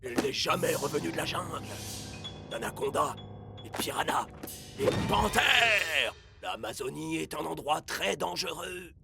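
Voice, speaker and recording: Excitable, high-pitched young footballer. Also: male voice, unhurried, creaky. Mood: dramatic storytelling. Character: excitable young footballer hungry for adventure and danger. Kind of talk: French cartoon dub acting. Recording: loud music behind the voice.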